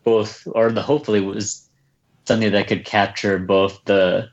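A reserved, soft-spoken man from the United States, answering questions about his books in a casual interview with many fillers and restarts. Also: fairly low pitch, level tone, normal speed, muffled recording. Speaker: children's book author, guest.

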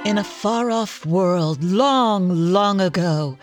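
A woman's voice: mid-range voice, slow pace, faint music behind. A woman as announcer, giving theatrical storybook narration in the manner of old-time radio.

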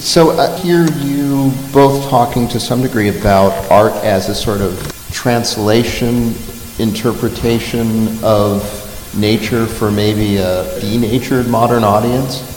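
A man moderating a live panel, framing questions in deliberate, searching phrases. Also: fairly low pitch, darkish tone. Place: large hall, live panel. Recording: echoey hall with long reverb.

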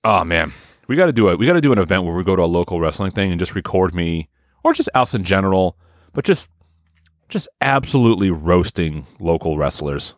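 A man in his thirties co-hosting a podcast, swearing freely and telling stories in a booming voice. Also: animated, brisk, dry recording, clean studio sound. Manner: profane, loud storytelling, booming voice. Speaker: podcast co-host. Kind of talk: casual chat.

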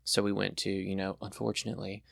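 Low gravelly young man, rambling chat. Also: completely flat delivery, fast talker, a bit bright, dry recording, studio quality. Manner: rambling, enthusiastic, many fillers. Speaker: podcast guest, pilot. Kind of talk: casual chat.

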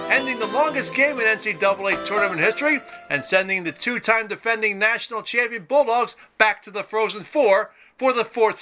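A man hosting a sports podcast, interviewing guests in a conversational style. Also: high-pitched.

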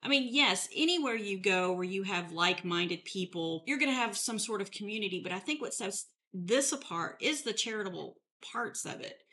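A woman's voice: medium pitch, animated, moderate pace, few pauses, pushed and bright. A woman co-hosting a podcast, chatting in an agreeable, supportive way. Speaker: podcast co-host. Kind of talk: casual interview chat.

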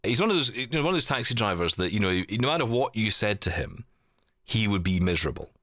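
A man hosting a podcast in easy, rambling chat. His voice sits low but roams widely in pitch as he tells stories. The sound is close and dry, with no room around it. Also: sing-song, fairly bright tone, no echo. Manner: chatty storyteller, quick asides. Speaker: podcast host. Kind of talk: casual chat.

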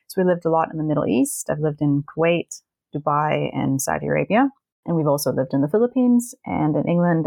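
Low, gravelly-voiced woman guest. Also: no echo. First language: Danish. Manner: friendly, reflective storytelling. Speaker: podcast guest, parenting coach. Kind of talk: casual interview chat.

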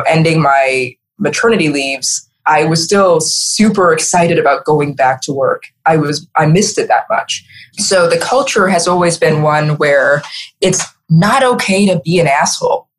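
A woman's voice: sits low, unhurried. A woman, middle-aged, speaking earnestly and emphatically as a guest in a conversational podcast interview. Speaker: interview guest, marketing executive.

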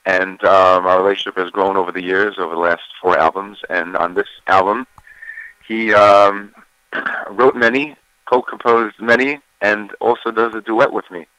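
A man, a singer, talking casually about his album in an interview, with a deep voice and plenty of fillers and restarts. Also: moderate pace, smooth, dry recording, muffled recording. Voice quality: deeper voice. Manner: relaxed, many fillers and restarts.

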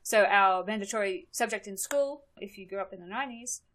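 A woman's voice: medium pitch, brisk, fairly bright tone. A woman in her thirties with an Australian accent, a guest chatting on a podcast and often laughing. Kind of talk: casual podcast chat.

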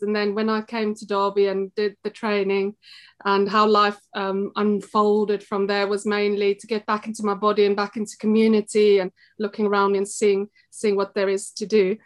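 A middle-aged woman with a South African accent speaks in a level, steady tone that barely rises or falls. She thinks aloud as she goes, pausing and restarting often in easy conversation. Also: medium pitch. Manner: thoughtful, halting with fillers and restarts. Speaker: guest, shop co-owner. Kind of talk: casual interview chat.

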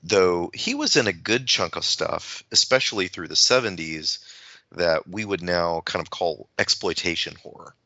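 Deep, very bright gravelly man. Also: dry recording, dull audio. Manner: halting, thoughtful. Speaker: podcast panelist. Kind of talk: casual analytical discussion.

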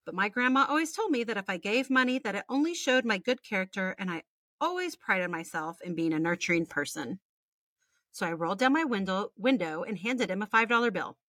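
A woman's voice: medium pitch, animated, a little fast, a bit bright, no room sound. An American woman co-hosting a podcast, reading listener stories aloud in a casual, sweary way with chatty asides. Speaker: podcast co-host.

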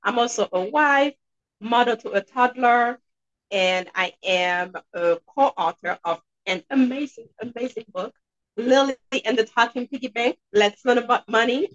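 A woman in her thirties with a Haitian accent speaks in a fairly high voice. She talks conversationally as an interview guest, with emphatic repetition. The recording sounds a little muffled and lo-fi. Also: a bit bright. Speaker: podcast guest, dentist and debt coach. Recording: slightly dull, lo-fi sound.

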